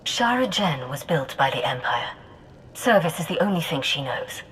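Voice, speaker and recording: An actress playing a tough, suspicious former secret agent, cool and direct, in a science-fiction video game. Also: female voice, deep voice, very animated, moderate pace, fairly bright tone, slight background noise, rough recording. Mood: confrontational. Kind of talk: scripted video game acting.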